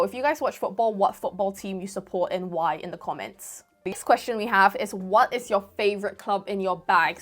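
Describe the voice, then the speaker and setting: A woman's voice: medium pitch, a bit bright. A woman in her twenties with a Singaporean accent tinged with British, chatting casually to camera and rambling as she answers viewer questions. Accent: Singaporean with a light British mix. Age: 20s. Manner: chatty, rambling, self-correcting. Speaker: golf YouTuber. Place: room at home with football shirts on the wall.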